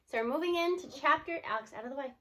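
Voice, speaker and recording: A woman reading a children's novel aloud in an expressive storybook style, acting out the dialogue. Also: sits high, quick pace, background noise. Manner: animated, acts out the characters' dialogue.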